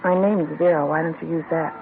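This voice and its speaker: A woman's voice: deep voice, fairly even intonation, quick pace, a little hiss or hum. An actress playing a guarded female informant in an old radio drama, blunt and clipped. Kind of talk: 1950s radio drama acting. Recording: tinny lo-fi old radio broadcast.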